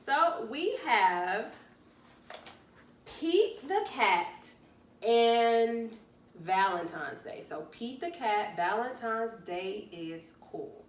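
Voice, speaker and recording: A woman teacher reading a story aloud to young children, expressive and deliberate, stretching key words for emphasis. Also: fairly high pitch. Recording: roomy, distant mic, faint noise.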